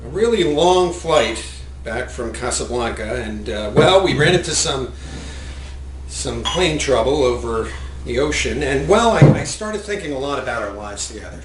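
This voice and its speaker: A man's voice: medium pitch, expressive, normal speed, natural voice. A man playing a nervous, evasive longtime husband in a stage comedy, hesitant and stammering. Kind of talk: stage acting in a one-act comedy play. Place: indoor theater stage, recorded live. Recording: roomy live theater recording, faint noise.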